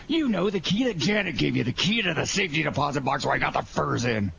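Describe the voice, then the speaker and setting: A man's voice: on the high side, animated, fast, gravelly, fairly bright tone, heavily acted. A man playing a menacing, impatient gangster with slangy, taunting tough-guy talk in a 1940s-style radio crime drama. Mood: impatient, demanding. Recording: lo-fi muffled audio with faint noise.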